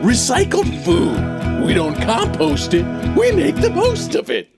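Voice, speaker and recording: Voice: huge pitch swings, measured pace. A performer playing a salesy radio ad announcer. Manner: salesy, swooping pitch. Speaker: advert announcer. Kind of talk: mock radio commercial. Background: guitar music.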